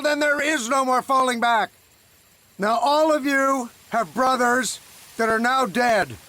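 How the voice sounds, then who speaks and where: Proud solemn marine officer giving troops a speech, war game cutscene, really high pitched, bright hard voice. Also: male voice, exaggerated voice. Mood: grim, resolute. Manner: measured, oratorical commanding address. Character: Marine commanding officer rallying his battle-weary men, solemn and proud.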